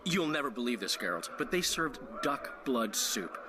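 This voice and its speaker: A man playing an excitable, gossipy bard, telling tales dramatically in a fantasy game. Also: mid-range voice, normal speed, background noise, close to an everyday voice. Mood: animated, gossipy. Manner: animated, dramatic storyteller. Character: excitable, gossipy, florid adult male bard.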